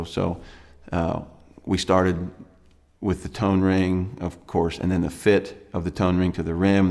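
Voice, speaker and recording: A man in his thirties from Pennsylvania, a banjo maker talking in an interview with halting pauses and fillers. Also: sits low, darkish tone, long reverb tail. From Lancaster, Pennsylvania. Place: studio with dark backdrop.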